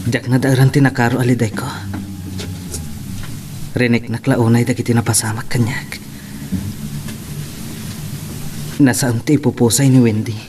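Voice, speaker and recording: A man playing a gentle, grieving and grateful young lover in an Ilocano drama. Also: mid-range voice, level tone, moderate pace, long pauses, background noise, lightly acted. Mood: wistful. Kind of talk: Ilocano radio-style drama acting.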